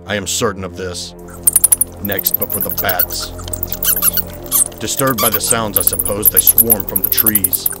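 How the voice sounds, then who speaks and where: A man voicing a brooding, haunted young gentleman heir in a motion comic, formal, solemn and deliberate. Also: fairly low pitch, fairly even intonation, over loud music, natural voice. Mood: haunted, somber. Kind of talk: dramatic motion-comic acting and diary narration.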